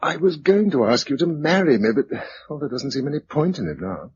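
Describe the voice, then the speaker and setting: A man's voice: on the high side, expressive, a little fast, a little mellow, lightly acted. Male survivor, played by an actor, radio drama: wry, tender, understated, varied intonation, slightly muffled recording. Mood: hesitant. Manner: conversational, understated. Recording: dull radio recording, faint noise.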